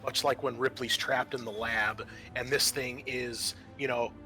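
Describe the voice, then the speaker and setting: Male game master, bright mid-pitched voice, off-the-cuff horror narration with hesitations, light music underneath. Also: fairly even intonation. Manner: halting, casual, profane.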